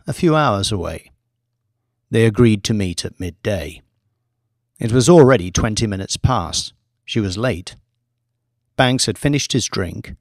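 A man reading a scripted audiobook story in a measured, deliberate storytelling manner. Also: mid-range voice, no echo, studio quality. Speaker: audiobook narrator, author reading own book.

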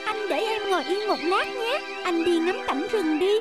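High-voiced sweet schoolgirl. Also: moderate pace, over loud music. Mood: pleading, reassuring. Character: sweet, earnest visually impaired young schoolgirl. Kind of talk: animated cartoon dubbing.

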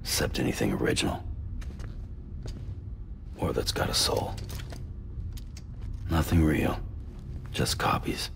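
An actor playing a gruff, reluctant loner porter in a video game, terse and cynical, muttering in a gravelly voice. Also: male voice, very deep voice, lively intonation, takes it slowly, long pauses, a little hiss or hum, exaggerated voice. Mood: cynical, weary. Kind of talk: cinematic video game acting.

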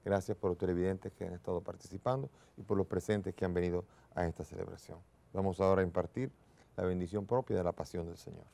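A man in his sixties, a bishop, leading prayers and speaking to the faithful at Mass in a large church, in a solemn, measured way. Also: deep voice, fairly even intonation, gravelly, mellow. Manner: solemn, measured liturgical delivery. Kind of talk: liturgical prayer and homily.

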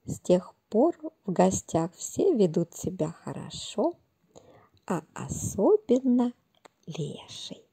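Slow, gravelly woman telling a fairy tale. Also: mid-range voice, big pitch swings. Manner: gentle, soothing, unhurried storytelling. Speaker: storyteller and author. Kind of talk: bedtime fairy tale narration. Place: outdoors in a leafy garden.

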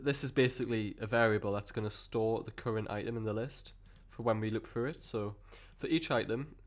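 A man with a cold and a croaky voice, explaining code step by step in a rambling way with many fillers and restarts. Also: fairly low pitch. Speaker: programming tutor. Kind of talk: screen-recorded coding tutorial.

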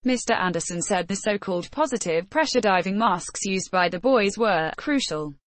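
A woman reads a news story in a level, unhurried voice. The voice is close and dry, with no room sound. Her pitch sits in an ordinary middle range. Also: lively intonation, no room sound. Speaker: news reader. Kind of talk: read-out news narration.

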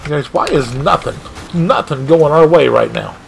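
A man streaming a car soccer game, commentating his own play with excited outbursts and frustration at near misses. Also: on the high side, moderate pace, vocal fry, slight background noise. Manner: animated, exclaiming, frustrated at missed shots. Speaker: gaming streamer. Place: home gaming room.

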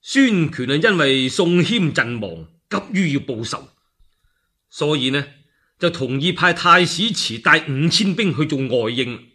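Animated, husky male Cantonese storyteller. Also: on the high side, measured pace. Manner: animated, colloquial, acts out dialogue. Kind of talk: dramatic solo radio storytelling of a historical novel.

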